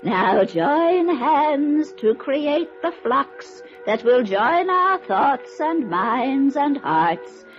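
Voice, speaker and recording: An actress playing a grandiose middle-aged spirit medium, intoning in a drawn-out trance manner in an old radio drama. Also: female voice, sits high, big pitch swings, heavily acted. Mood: solemn, commanding. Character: theatrical middle-aged woman spirit medium holding a séance. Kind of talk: 1950s radio drama acting. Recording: dull vintage radio recording, faint music.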